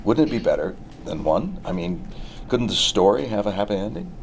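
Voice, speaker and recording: A middle-aged business owner, persuasive with menace underneath, in a TV drama read. The voice is fairly deep and swings widely in pitch. The room is noisy. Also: male voice, sing-song, fairly quick, creaky. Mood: upbeat, persuasive. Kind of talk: table read of a TV drama script.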